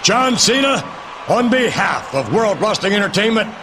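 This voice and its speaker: An actor voicing an older male wrestling chairman in a video game cutscene, announcing the winner in a booming, commanding way. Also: sing-song, moderate pace, a crowd in the background, noisy background. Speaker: company chairman presenting the winner.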